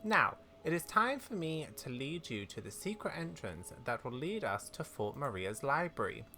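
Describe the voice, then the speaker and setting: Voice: mid-range voice, sing-song, moderate pace, pushed and bright. A gamer voicing a bold, quirky, self-important female talking horse from a video game, in a proud, theatrical manner. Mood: grave, theatrical. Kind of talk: read-aloud video game dialogue. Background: game music.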